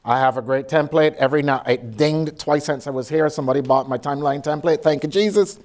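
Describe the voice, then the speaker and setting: A man's voice: fairly high pitch, a little fast, a little mellow. A middle-aged man from Barbados with a Barbadian accent, giving a blunt, sassy conference keynote full of joking asides. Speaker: keynote speaker. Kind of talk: lively conference keynote with audience interaction.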